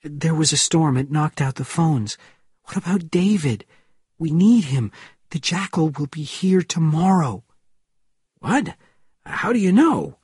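A man puts on a determined woman in a thriller audiobook, husky and raised in pitch. She is anxious and direct, pressing with urgency. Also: expressive, unhurried, long pauses, darkish tone, no room sound, studio quality, full character voice. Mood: urgent, anxious.

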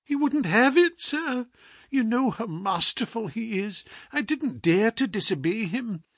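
Man putting on an anxious old landlady, pleading, urgent, bright husky tone. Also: high-pitched, lively intonation, measured pace, no echo, pitched above the performer's own voice, exaggerated voice.

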